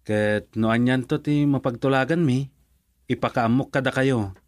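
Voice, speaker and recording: A man's voice: medium pitch, normal speed, no echo, lightly acted. A young man with an Ilocano accent acting an earnest, pleading grown son in a radio drama.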